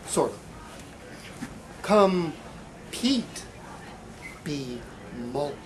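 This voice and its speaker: A man giving a live poetry reading in a bookstore, with halting, deliberate delivery, in an amateur recording. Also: fairly high pitch, sing-song, a little hiss or hum. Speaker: poet. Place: bookstore reading event, indoors.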